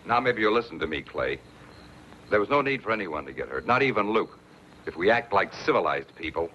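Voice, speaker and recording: A man's voice: sits low, sing-song, fairly quick, bright, forceful tone, heavily acted. An actor playing a resolute, plain-spoken American frontier man in a radio western, with a firm manner. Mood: stern. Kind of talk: old-time radio western acting. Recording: dull, faintly noisy radio recording.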